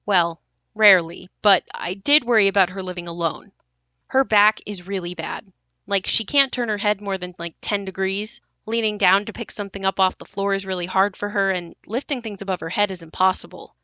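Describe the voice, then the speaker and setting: An actress plays a worried grown daughter telling family memories in an audio drama. Her voice is bright and forward, close and dry, with a conversational, matter-of-fact delivery. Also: medium pitch, a little fast, no room sound, close to an everyday voice. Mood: worried, confiding. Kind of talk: audio play monologue, conversational.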